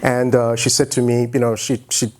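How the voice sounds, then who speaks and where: A man's voice: mid-range voice, level tone, normal speed, darkish tone. Jokey man giving a conversational stage talk. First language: English. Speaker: guest speaker telling his own story. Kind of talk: informal motivational talk on stage. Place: stage in an auditorium, indoors. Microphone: handheld microphone.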